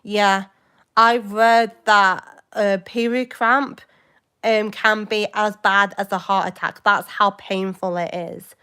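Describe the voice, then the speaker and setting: Rambling young woman, smooth voice. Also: medium pitch, steady tone, takes it slowly, pushed and bright. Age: 20s. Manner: rambling, hesitant, jumps between topics. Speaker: livestream host. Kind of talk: casual live chat with viewers.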